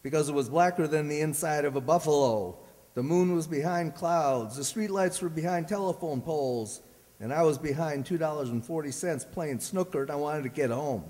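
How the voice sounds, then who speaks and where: A stubborn, grumbling American husband, acted by a man for an old-time radio comedy. His voice sits a little high, he blusters in folksy slang, and the sound has a long hall reverb. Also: normal speed, darkish tone, close to an everyday voice. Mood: grumbly, determined.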